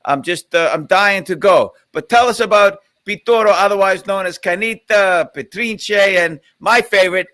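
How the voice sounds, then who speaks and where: A man's voice: high-pitched, normal speed, hardly any pauses, bright, forceful tone. A man hosting a video call chat, upbeat and enthusiastic, asking his guest questions. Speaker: host.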